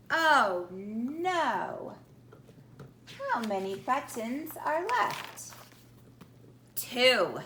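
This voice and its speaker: Slow, bright, high-voiced woman reading a storybook. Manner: deliberate, expressive, with questions posed to young listeners. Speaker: teacher reading a children's picture book aloud. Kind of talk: animated children's storybook read-aloud. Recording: echoey room with faint background noise.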